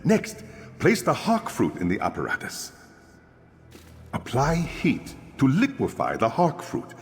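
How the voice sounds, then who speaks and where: An actor playing a friendly, chatty innkeeper and eager drink inventor in a fantasy video game, theatrical and animated. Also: male voice, mid-range voice, a little slow. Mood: attentive coaching. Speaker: innkeeper giving instructions. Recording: long reverb, music behind.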